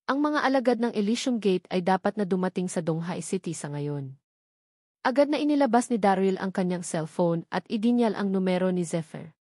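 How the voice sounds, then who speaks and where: A woman's voice: mid-range voice, lively intonation, a little fast, darkish tone, dry recording. Woman narrating a novel, close dry sound. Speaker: audiobook narrator.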